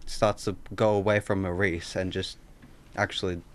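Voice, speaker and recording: A man's voice: deep voice, little pitch movement, moderate pace, a bit bright. A young man giving casual answers in an interview. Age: young adult. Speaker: drama student being interviewed.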